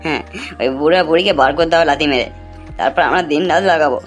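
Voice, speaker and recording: A woman acts out a sly, greedy male swindler in a cartoon. The delivery is quick, colloquial and taunting. Music sits behind the words. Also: low-pitched, close to an everyday voice. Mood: scheming. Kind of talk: amateur cartoon voice acting. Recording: music under the voice.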